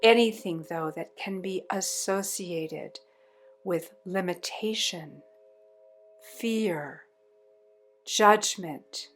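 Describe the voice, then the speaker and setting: A woman leading a guided meditation in a soothing, deliberate way with long pauses between phrases. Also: fairly low pitch.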